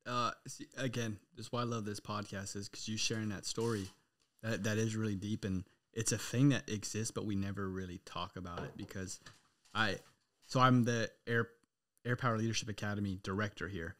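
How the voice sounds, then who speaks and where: A man's voice: lowish voice, steady tone, a little fast, fairly bright tone. An American man hosting a podcast, chatting informally and earnestly with his guest. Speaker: podcast host. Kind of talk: casual interview chat.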